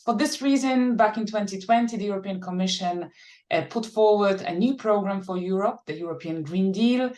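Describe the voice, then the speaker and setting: A woman giving formal, measured remarks as a conference panelist, with occasional filler pauses. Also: medium pitch, a little mellow. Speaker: panelist, policy team leader.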